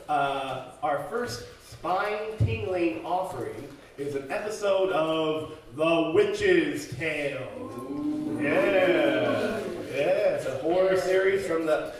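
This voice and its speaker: High-voiced showy male emcee in echoey hall. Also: expressive. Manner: showy, jokey, drawn-out greetings and repeats. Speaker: radio show host. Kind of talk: live old-time radio show emceeing. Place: community center hall, live audience. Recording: echoey hall, distant mic, audience noise.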